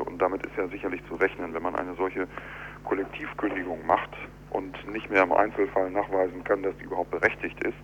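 A man speaking as an architect in a telephone interview, explaining in a measured, deliberate, argumentative way. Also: sits low. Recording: dull telephone line with clear hum.

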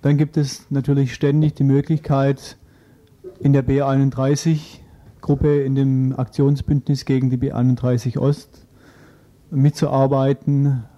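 Very dark-voiced man, even delivery. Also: medium pitch, unhurried, lo-fi sound. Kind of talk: informational radio talk.

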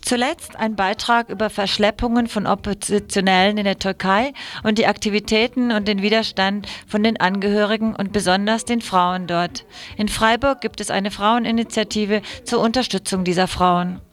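A woman's voice: mid-range voice, fairly even intonation, normal speed. A woman radio presenter reading scripted news items in a studio.

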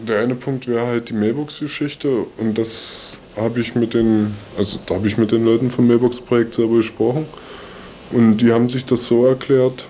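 A man's voice: medium pitch, steady tone, normal speed, mellow. A man giving a spontaneous explanation as an interviewee, in a slightly noisy recording. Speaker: interviewee, magazine staff member. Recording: noisy interview clip with ambience.

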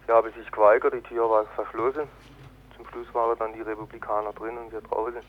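A man interviewed as an activist, explaining earnestly and haltingly over a noisy, tinny phone-like line. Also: on the low side, level tone. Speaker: political activist being interviewed. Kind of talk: spontaneous spoken interview.

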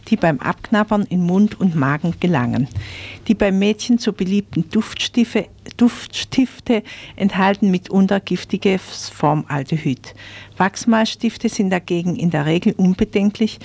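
A woman's voice: medium pitch, darkish tone. A woman reading a scripted consumer information item as a radio presenter. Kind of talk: scripted magazine news reading.

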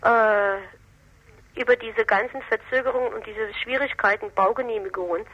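A woman explaining local politics in a discussion, critical and emphatic, with halting phrasing. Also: medium pitch, bright, forceful tone. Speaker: political commentator on local council affairs. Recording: dull, lo-fi radio interview recording.

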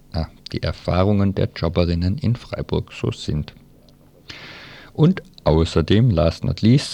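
A man's voice: low voice, vocal fry, dark tone. A man reading out a scripted programme preview as a radio host.

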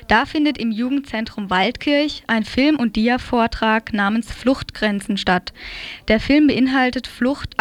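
A woman announces events on the radio from a script. Her voice is fairly high, and the sound is close and dry with no room around it. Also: dry recording.

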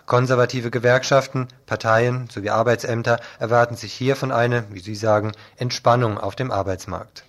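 A man's voice: medium pitch, steady tone, normal speed, few pauses. A man presenting scripted radio news commentary in a pointed, critical manner.

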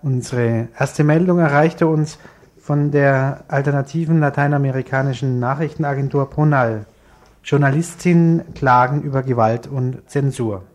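A man's voice: medium pitch, moderate pace, darkish tone. A male presenter reads the news on radio from a studio. His delivery is scripted, with a mid-range voice suited to bulletins and announcements.

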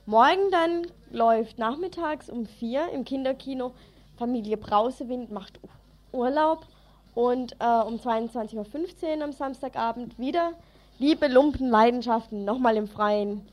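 A woman's voice: fairly high pitch, unhurried, darkish tone. A woman presenting event tips on local radio in an informal, colloquial manner.

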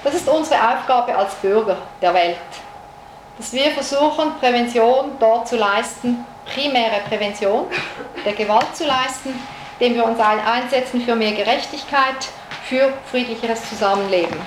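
A woman's voice: a little high, moderate pace. A woman psychologist from Switzerland giving an explanatory lecture, illustrating her points with first-person examples. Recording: echoey room recording with noise.

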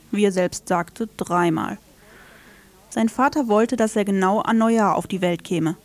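A woman reading a scripted literary radio feature in a measured, storytelling way. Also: mid-range voice, darkish tone. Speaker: radio presenter.

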